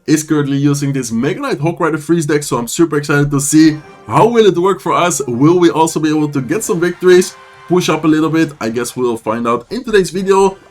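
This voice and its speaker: A man with a sore throat giving fast, casual play-by-play commentary over his own gameplay. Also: a little high, animated, soft background music. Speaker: gaming YouTuber.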